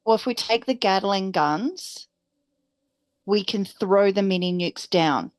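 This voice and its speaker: A woman's voice: medium pitch, slow pace, dry recording. A woman talking strategy casually and decisively as a player in a tabletop game.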